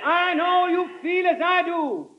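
An actor playing a French envoy giving a rousing, declamatory speech with a French accent, in an old radio drama on a muffled vintage recording. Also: male voice, very high voice, a little slow, slight background noise. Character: French envoy orating to a crowd. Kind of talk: 1940s radio drama acting. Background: cheering crowd.

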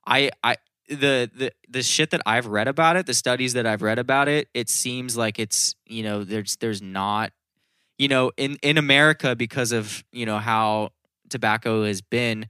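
A man's voice: medium pitch, moderate pace, pushed and bright, clean tone, no room sound, clean studio sound. A man in casual podcast chat, explaining things in a rambling, informal way with some swearing.

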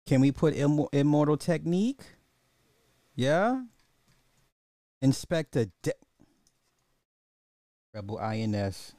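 A man's voice: on the high side, expressive, slow pace, long pauses, mellow, dry recording, clean studio sound. A man hosting a casual, opinionated commentary podcast in slangy, informal speech, thinking aloud and often repeating his own phrases. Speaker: podcast host.

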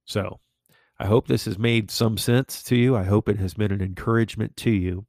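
Male podcast preacher, lowish gravelly voice, congested and a bit nasal, emphatic, dry close sound. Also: steady tone, a little mellow, no room sound. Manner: slow, emphatic, declarative preaching. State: congested and a little nasal from allergies. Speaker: podcast host.

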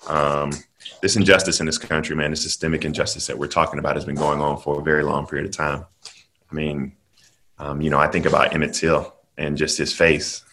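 A man with an extremely deep voice that still cuts through bright and forceful. He speaks earnestly in a flat, level line, pausing often on ums as a podcast guest. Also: a little hiss or hum. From South Carolina, US. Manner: earnest, measured storytelling with frequent ums. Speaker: guest political commentator. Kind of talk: podcast interview.

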